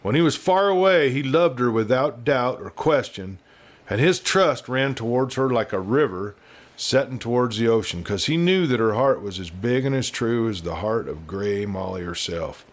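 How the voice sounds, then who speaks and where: A man reading a western story aloud as scripted narration, with a dramatic, expressive delivery. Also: mid-range voice, moderate pace. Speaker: audiobook reader and show host.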